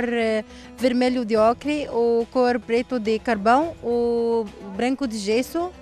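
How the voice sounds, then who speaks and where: An Egyptian woman working as a tour guide outdoors, explaining history in halting learned Portuguese, with Arabic as her first language. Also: sits high. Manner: halting non-native phrasing, explanatory. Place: outdoors at an ancient temple.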